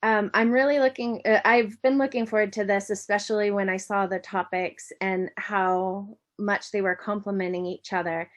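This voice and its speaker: A woman's voice: mid-range voice, fairly even intonation, moderate pace, hardly any pauses. Friendly American woman lecturer, middle-aged, presenting online with many ums and restarts. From United States. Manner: friendly, with frequent ums and self-corrections. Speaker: university lecturer.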